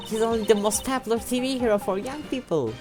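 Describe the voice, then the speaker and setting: A young woman voicing an excitable teenage girl sidekick in a fan game voice-over, talking in a gushing, high-spirited way over game music. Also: fast, natural voice. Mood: excited.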